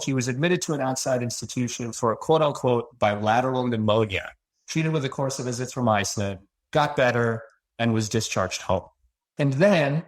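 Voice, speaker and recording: A man from Los Angeles, a cardiology fellow who presents a patient case in a measured, narrative way. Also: medium pitch. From Los Angeles, USA.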